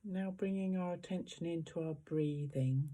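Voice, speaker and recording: A meditation guide giving gentle, unhurried guided instructions with long pauses between phrases. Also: medium pitch.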